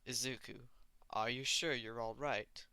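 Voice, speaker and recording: A man's voice: medium pitch, fairly even intonation, measured pace, pushed and bright, clean tone, no room sound. Man as a solemn, reserved teenage boy. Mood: concerned.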